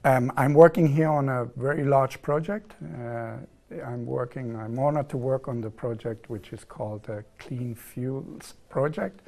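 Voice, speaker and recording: A man's voice: medium pitch, darkish tone. A man in his forties from southern Germany with a German accent, explaining his work carefully as a guest in a TV studio interview. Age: 40s. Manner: measured, explanatory, repeats set phrases.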